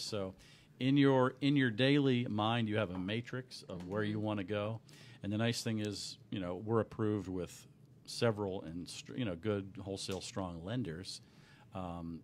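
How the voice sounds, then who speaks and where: Slightly hoarse middle-aged man, low-pitched, earnest explainer, desk-mic radio studio with faint hum. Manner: earnest, explanatory, many restarts. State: a little hoarse. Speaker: mortgage company owner, guest. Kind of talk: explaining on a radio talk show. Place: small radio studio with backdrop. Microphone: desk microphone on boom.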